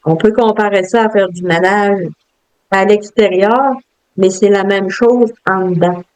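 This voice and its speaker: A woman with a Quebec French accent, reflective and halting, with many fillers and restarts as she talks. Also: mid-range voice, steady tone, darkish tone, smooth, poor audio. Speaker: guest. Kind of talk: casual interview talk.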